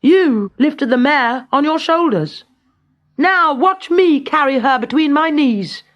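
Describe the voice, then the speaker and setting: Narrator playing a high-voiced, cunning peasant lad. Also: lively intonation, takes it slowly, dull audio. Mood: cocky. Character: plucky, cunning young peasant lad, boldly bluffing. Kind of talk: children's storybook character reading.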